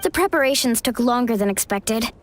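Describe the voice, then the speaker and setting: A woman's voice: on the high side, animated, a little fast, slight background noise, close to an everyday voice. A woman voicing a cheerful, impulsive young girl in a video game, with a bubbly, eager, casual manner. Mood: excited. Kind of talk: video game story voice acting.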